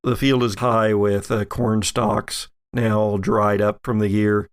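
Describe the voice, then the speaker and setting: A man running a tabletop game as game master, improvising narration in halting, drawn-out phrases. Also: lowish voice, flat delivery, clear, resonant tone.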